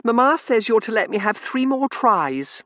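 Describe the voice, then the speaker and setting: A man's voice: sits high, big pitch swings, moderate pace, fairly bright tone, no echo, pitched above the performer's own voice. A man voicing a bossy, whiny, self-righteous little Victorian girl in an audiobook. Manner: wailing, insistent, self-righteous. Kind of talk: audiobook character acting.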